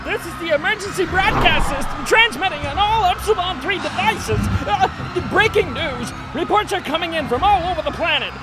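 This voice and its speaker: A woman's voice: extremely high pitch, lively intonation, normal speed, few pauses, rough recording. An actress playing a frantic woman announcer reading an emergency news bulletin in an audio drama, urgent and alarmed. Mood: panicked urgency. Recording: loud music and crowd behind voice.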